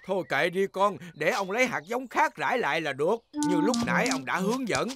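An animated elderly voice with clear music behind it. It is a jolly old grandfather pig in a kids' cartoon, his pitch swinging up and down. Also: fairly quick. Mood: puzzled. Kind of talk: children's cartoon dub.

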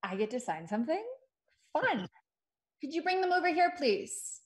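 A woman's voice: high-pitched, very animated, moderate pace. An actress in her thirties playing an eager, insecure new supervisor in a comedy table read, earnest and a little self-important. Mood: pleased. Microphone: video call.

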